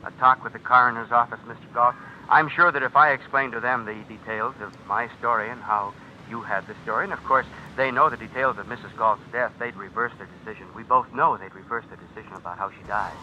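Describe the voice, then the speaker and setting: An actor in an old radio drama playing a smug, menacing blackmailer, a writer who talks with insinuating mock politeness. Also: male voice, medium pitch, fairly even intonation, fast talker, bright, forceful tone. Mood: calm menace. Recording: dull, noisy old radio recording.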